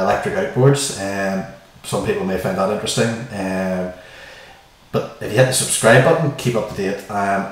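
A man in his forties talks through a tutorial in an informal, plain-spoken way. His voice is low-pitched. It is picked up a little way from the microphone in a small, very reverberant room. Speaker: host of a how-to video. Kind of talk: casual tutorial explanation. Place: small bare-walled room indoors. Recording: echoey small room, distant mic, faint noise.

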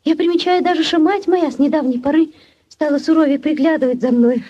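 An actress as a high-voiced, tender village maiden. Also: female voice, a little fast, warm tone, close to an everyday voice. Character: shy, tender young village maiden in love. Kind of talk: 1940s Soviet radio drama acting. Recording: dull 1940s radio recording.

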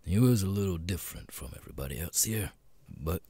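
A man voicing a gruff, jaded cat demon bartender in an ASMR roleplay, muttering and swearing wearily with hesitant pauses in a deep, gravelly rasp. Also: expressive, dark tone, no room sound, full character voice. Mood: wry, reassuring.

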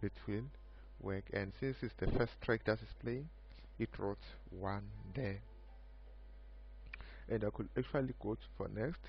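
Male developer demoing his program, creaky and unhurried, halting delivery, muffled recording with slight background hum. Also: on the low side. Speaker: software developer demonstrating own project. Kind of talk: unscripted screen-recording software demo.

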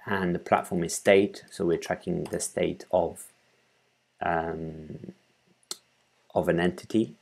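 Male tutorial presenter, thirties, very low monotone, halting and informal, explaining each step at his desk. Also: creaky, slightly rough. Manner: halting, thinking aloud while working. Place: small room at home, indoors.

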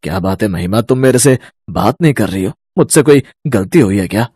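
A man's voice: lowish voice, lively intonation, fairly quick, warm tone, breathy edge, dry recording, clean studio sound. A man voicing an anxious middle-aged father-in-law in a cartoon, earnest and pleading.

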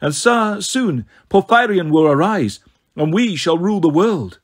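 A man acts out a cold snow goddess from a fantasy storybook. The voice sits high and stays soft, gentle and purring. She is haughty and condescending, taunting with cruel, silky smugness. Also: lively intonation, measured pace. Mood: gloating. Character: beautiful cold snow goddess, haughty, sadistically smug villainess.

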